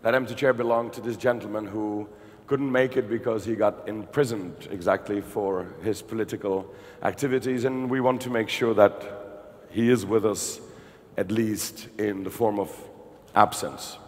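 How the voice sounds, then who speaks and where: A man's voice: lowish voice, steady tone, darkish tone. Man moderating a panel in a reverberant hall. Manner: joking, conversational, halting restarts. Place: conference hall. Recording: reverberant hall with faint noise.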